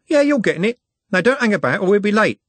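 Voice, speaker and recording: A man's voice: sits high, sing-song, quick pace, fairly bright tone, no room sound, voice pushed up, full character voice. A man voicing a brash, cocky young working-class lad in an audiobook, slangy, blunt and mocking. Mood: bossy. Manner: slangy, blunt, matey banter.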